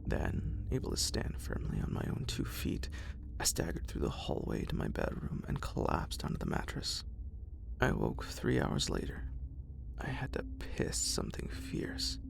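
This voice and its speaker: A man reading a horror story in a breathy ASMR whisper, in a deliberate, literary storytelling manner. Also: a bass voice, wildly swooping pitch, a little hiss or hum.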